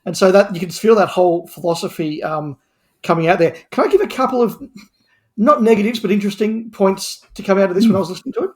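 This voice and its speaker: A man in a casual podcast discussion, talking as a guest panellist and telling things in an animated way. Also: sits high, fast.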